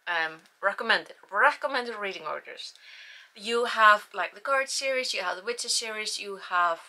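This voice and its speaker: Young Icelandic-accented woman, bright-toned book vlogger, chatty and rambling. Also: mid-range voice. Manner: chatty, self-deprecating, rambling with restarts. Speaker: book channel host. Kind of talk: casual book review vlog.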